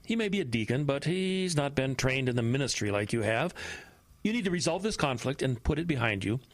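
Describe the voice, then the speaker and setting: An actor playing a brisk, pragmatic senior pastor in a horror audio drama, admonishing and stern with little patience. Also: male voice, mid-range voice, lively intonation, no echo, close to an everyday voice. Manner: admonishing, impatient.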